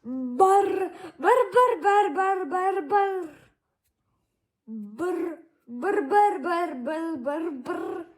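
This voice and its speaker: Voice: very high voice, expressive, drawn-out words, heavily acted. A storyteller with a childlike voice playing a mocking golden bird that sings a wordless, sing-song refrain in a folktale.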